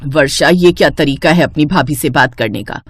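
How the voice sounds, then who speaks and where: A woman's voice: low-pitched, moderate pace, slight background noise, voice pushed down, lightly acted. A woman voicing a stern middle-aged mother in a cartoon family story, scolding reproachfully.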